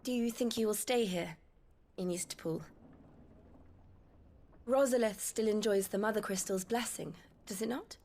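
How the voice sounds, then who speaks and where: An actress playing a calm, courteous young noblewoman in a video game cutscene. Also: mid-range voice, a little slow, long pauses, slight background noise, lightly acted. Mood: gentle. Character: composed young noblewoman, gentle and polite.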